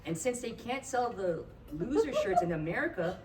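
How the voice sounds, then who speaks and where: A woman playing an easygoing, deadpan male football fan in a comedy table read. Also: mid-range voice, moderate pace, far from the mic, close to an everyday voice. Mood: wistful. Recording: noisy live room, off mic.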